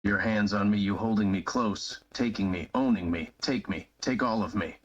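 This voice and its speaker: A man playing a devoted, yielding young male lover in a live-streamed romantic roleplay reading, with slow, breathy, dramatic declarations. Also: lowish voice, muffled recording.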